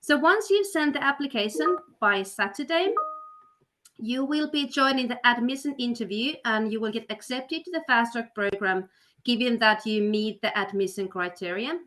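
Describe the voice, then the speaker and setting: A woman with a fairly high voice hosts an online webinar over a video call. She sounds upbeat and persuasive, keeps a steady flow of fillers and restarts, and pushes listeners to act soon. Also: normal speed. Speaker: webinar host, study counselor. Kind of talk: webinar presentation.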